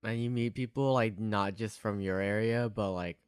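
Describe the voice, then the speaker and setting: A young man co-hosts a podcast in a casual, meandering way. His voice sits a little low and his sentences are full of fillers. There is hardly any room sound around him. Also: fairly even intonation, moderate pace, smooth, dry recording, clean studio sound. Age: young adult. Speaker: podcast co-host. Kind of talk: casual chat.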